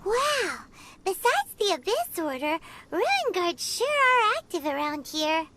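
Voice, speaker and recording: Tiny female fairy companion, very high childlike squeak, lively swooping intonation, perky, chatty, video game character, loud music behind. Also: takes it slowly, exaggerated voice. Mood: surprised, puzzled. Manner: perky, exaggerated, refers to herself in third person. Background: prominent background music.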